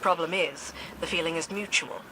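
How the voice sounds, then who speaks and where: A woman's voice: lowish voice, normal speed, noisy background, natural voice. A woman playing a wry, tough ranger commander in a video game, dry and commanding.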